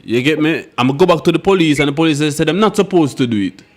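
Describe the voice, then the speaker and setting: A man with a Jamaican Patois accent in a casual debate, complaining and telling stories, with quick restarts. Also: a little high, animated. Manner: fast, complaining, storytelling with restarts.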